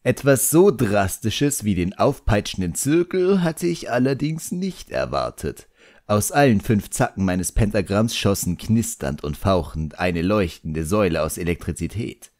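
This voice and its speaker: A man narrating an audiobook in the first person, expressive, wry and theatrical. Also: fairly low pitch, a little slow, no room sound, clean studio sound.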